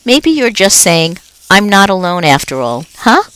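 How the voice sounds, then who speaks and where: A woman's voice: mid-range voice, sing-song, a little slow, fairly bright tone, clear, resonant tone, no room sound, voice pushed up, low-quality recording. A woman voicing a cheerful, joking male school janitor who works nights, in a storybook reading. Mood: jolly.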